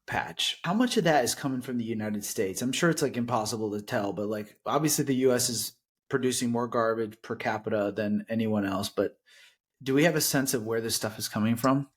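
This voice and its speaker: A man's voice: medium pitch, fairly quick, breathy edge. A man hosting an interview podcast, asking questions casually with lots of "like" fillers. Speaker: podcast host. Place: Los Angeles.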